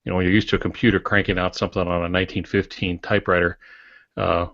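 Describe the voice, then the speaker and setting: A man in his sixties co-hosting a casual podcast over an online call, explaining things in a wry, measured way. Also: deep voice, level tone, creaky, a bit bright, no room sound. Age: 60s. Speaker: podcast co-host and writer. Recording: dull, dry, lo-fi online call audio.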